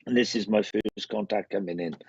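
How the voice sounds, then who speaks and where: A man's voice: on the low side, fairly quick, noisy background. A man with a Welsh accent chatting casually as a medium hosting a live online show, rambling and often repeating his phrases. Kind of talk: casual live chat, greeting viewers. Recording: muffled roomy online stream audio.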